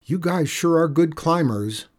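Man voicing a plucky, higher-pitched cowboy kid. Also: lively intonation, measured pace. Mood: sly, friendly. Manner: bold, challenging, folksy. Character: plucky, cocky small boy in the Old West.

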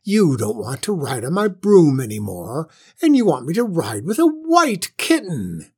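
Storybook old witch, high-pitched, shrill, bad-tempered, self-pitying, snorting with indignation. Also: huge pitch swings, takes it slowly, breathy edge, heavily acted. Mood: self-pitying, angry. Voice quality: shrill, shrieking.

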